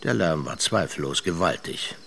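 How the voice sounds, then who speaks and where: A man's voice: a bass voice, animated, normal speed, vocal fry, fairly bright tone, slight background noise, heavily acted. A male actor playing a reflective, wry seaman who tells his memories in a radio drama. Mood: musing, wry. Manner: musing, storytelling, sardonic. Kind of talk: radio drama first-person narration. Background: music.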